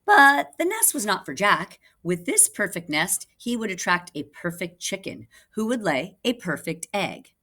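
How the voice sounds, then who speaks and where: A woman reading a picture book aloud for children in an animated way, with asides to listeners. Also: mid-range voice, a little slow, few pauses. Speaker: children's storybook reader, teacher. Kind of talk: expressive read-aloud for children.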